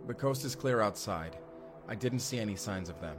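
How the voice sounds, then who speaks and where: A man's voice: fairly low pitch, expressive, normal speed, music in the background, natural voice. A man playing an urgent, determined time traveler in an audio roleplay drama, earnest and intense. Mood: tense, searching. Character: urgent, determined male time traveler, protective of his partner.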